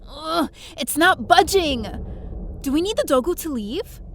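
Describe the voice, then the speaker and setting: Audio drama lead: quick-witted young-sounding heroine played by a woman, high and animated, narrating and acting dramatically. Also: a little hiss or hum, exaggerated voice. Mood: alarmed, confused. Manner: expressive, dramatic. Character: plucky, quick-thinking woman space adventurer telling her story.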